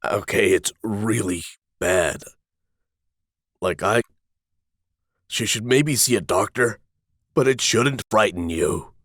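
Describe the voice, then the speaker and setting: A man with a fairly low voice tries out lines as an amateur voice actor. He speaks slowly and deadpan, in a very dry recording. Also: no room sound. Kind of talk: script takes and casual joking asides.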